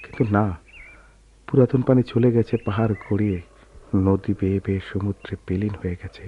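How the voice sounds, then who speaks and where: A man's voice: lowish voice, steady tone, a little fast, close to an everyday voice. An actor playing a brooding, regretful man in a radio drama, speaking in a poetic, reflective, dramatic way. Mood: resigned, sorrowful. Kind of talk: Bengali radio drama acting. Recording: dull, noisy old radio drama recording.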